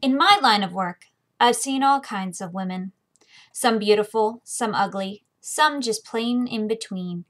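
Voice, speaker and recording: A woman's voice: mid-range voice, a little slow, fairly bright tone. An American woman with an informal, conversational way of talking, hosting a book reading video series. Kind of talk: casual chatty vlog, then reading a novel aloud.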